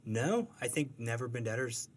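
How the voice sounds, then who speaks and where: A man's voice: medium pitch, big pitch swings, normal speed, slight background noise. A man chatting casually as an interview guest, informal and enthusiastic, feeling his way through explanations. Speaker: cartoonist guest. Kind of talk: casual chat.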